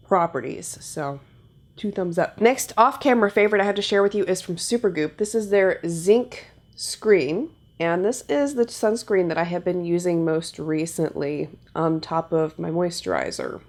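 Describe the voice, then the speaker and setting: A woman's voice: on the low side, darkish tone. A young woman giving a chatty makeup tutorial, sounding nasal from a cold. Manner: upbeat, chatty product demonstration. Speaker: beauty vlogger. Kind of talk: casual makeup tutorial talk. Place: home filming set, indoors.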